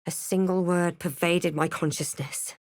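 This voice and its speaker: Casting: self-possessed female fantasy game spellcaster played by a woman, lowish voice, dramatic and measured, very dry close recording. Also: steady tone, dry recording, lightly acted. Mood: grave, reflective. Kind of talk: video game voice lines, narration and incantations.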